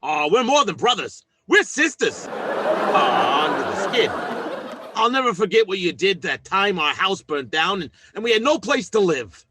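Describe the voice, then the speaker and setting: A man's voice: sits high, big pitch swings, a little slow, heavy background noise. An actor playing a good-hearted, blustery working-class father in an old-time radio comedy, folksy and ungrammatical, with sentimental turns.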